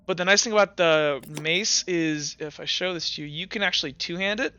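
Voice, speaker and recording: A young man running a tabletop game as game master, narrating scenes and explaining rules in a halting way with many fillers and restarts. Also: a little high, sharp tone, clear, resonant tone, muffled recording.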